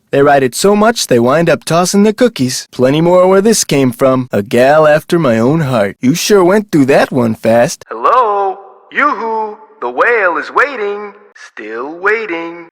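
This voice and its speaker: A man's voice: a little high, long reverb tail. A man playing a casual, chatty amusement park security guard in a video game. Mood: insistent, impatient. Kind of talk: scripted game voice lines.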